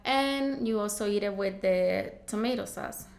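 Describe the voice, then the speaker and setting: A woman's voice: medium pitch, unhurried, gravelly, reverberant, a crowd in the background. A woman from El Salvador, a food truck founder explaining her food step by step in a casual interview, with many fillers.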